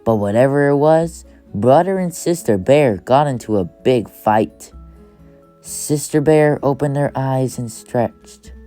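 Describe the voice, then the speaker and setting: A woman with a low voice reads a children's storybook at an unhurried, slow pace. She narrates as an audiobook reader, and music plays behind her. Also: dark tone.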